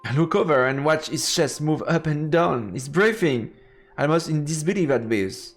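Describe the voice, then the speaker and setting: A man's voice: on the high side, expressive, moderate pace, soft background music. A man doing a let's play, reading game narration aloud and reacting casually as he goes.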